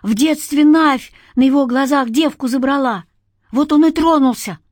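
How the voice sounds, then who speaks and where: A wheedling village man from a dark folk tale, acted by a woman. The voice is pushed high and strained. He talks loudly and colloquially, fawning and scornful at once, in a dry recording. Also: normal speed, dry recording, lightly acted. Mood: dismissive. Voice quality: strained, broken voice. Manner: ingratiating, colloquial, loud.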